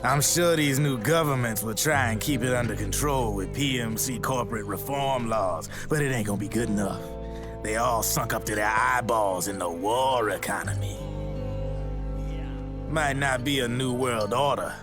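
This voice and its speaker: An actor playing a slick, jaded gun launderer in a video game cutscene, with slangy, folksy showmanship. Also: male voice, mid-range voice, moderate pace, lightly acted. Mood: animated, grandiose. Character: slick, jaded male gun launderer, former child soldier. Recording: loud music under the voice.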